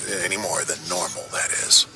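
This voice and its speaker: A man's voice: low voice, fairly even intonation, a little fast, natural voice. A man playing a wry tech adviser to villains in a video game, sardonic and conversational. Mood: dry, wary. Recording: loud game music behind.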